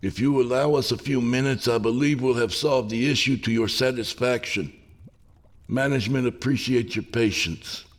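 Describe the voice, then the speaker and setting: A man voicing a calm, resigned factory cook who speaks in a soothing, formal way, in a story reading. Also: mid-range voice, moderate pace, voice pushed up, close to an everyday voice.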